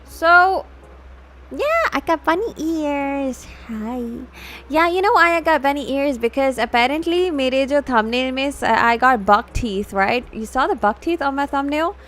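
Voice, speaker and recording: A woman with a high voice hosts a casual livestream, talking directly to her viewers. Her delivery is scattered and unscripted, with repeated words and restarted phrases, and she moves freely between two languages. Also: expressive, moderate pace, fairly bright tone. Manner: switches between English and Hindi mid-talk. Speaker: livestream host, VTuber.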